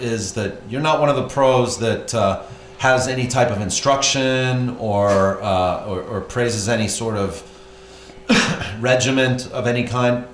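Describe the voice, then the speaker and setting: A man's voice: medium pitch, a little hiss or hum. A male interviewer asking casual questions, hesitating often with "uh" and restarting his sentences. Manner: hesitant, many "uh" pauses and restarts. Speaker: interviewer, host of the channel. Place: room in a private house. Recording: roomy echo, faint room ambience.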